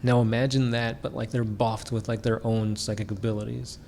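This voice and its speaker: A man co-hosting a casual podcast about a tabletop war game, answering fan questions in a relaxed way, full of "like" fillers and quick laughs. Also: fairly low pitch, gravelly, slight background noise. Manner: laid-back, many "like" fillers, laughs often. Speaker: podcast co-host.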